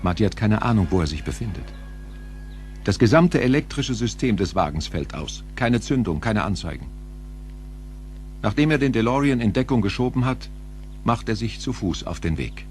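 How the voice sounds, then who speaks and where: Guy narrating an audio play, lowish voice, very animated and dramatic, loud music behind. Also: normal speed, pauses often.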